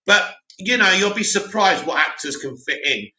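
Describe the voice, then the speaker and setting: A man hosting a live stream, reading out viewer comments and answering them in a loud, opinionated way with lots of restarts. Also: high-pitched, lively intonation, normal speed. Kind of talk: casual live chat. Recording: echoey room.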